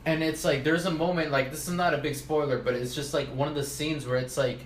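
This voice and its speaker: A man's voice: on the high side, steady tone, brisk. Chatty man rambling in an echoey room. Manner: rambling, heavy use of "like", swears casually. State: tipsy from drinking. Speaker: podcast co-host. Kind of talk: casual chat among friends. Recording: echoey room with faint ambient noise.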